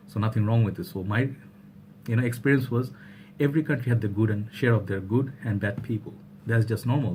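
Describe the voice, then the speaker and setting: A man's voice: fairly low pitch, darkish tone, a little hiss or hum. A young man from Bangladesh talking casually to camera in a vlog, rambling with many fillers and restarts. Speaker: vlogger.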